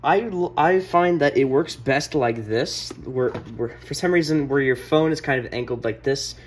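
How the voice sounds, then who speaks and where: Male tutorial maker, mid-pitched, casual and hesitant, noticeable room noise behind him. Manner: hesitant, halting, repeats himself. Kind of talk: casual hands-on tech demonstration. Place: indoor room at a wooden desk.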